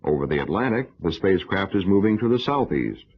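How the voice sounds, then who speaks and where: Deep-voiced man narrating an old educational film. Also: very animated, husky. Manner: formal, measured, instructional. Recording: dull, lo-fi old film soundtrack.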